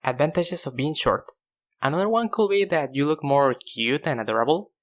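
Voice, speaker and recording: Animated young man, higher-pitched voice. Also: moderate pace. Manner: self-deprecating, animated. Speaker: video host. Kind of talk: casual scripted comedic narration to viewers.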